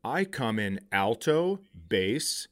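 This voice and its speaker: A middle-aged man with a mid-pitched voice hosts a comedy podcast. He tells stories casually and profanely, acting out quoted lines as he goes. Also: slow pace. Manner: profane, animated retelling with acted-out quotes. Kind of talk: casual comic storytelling.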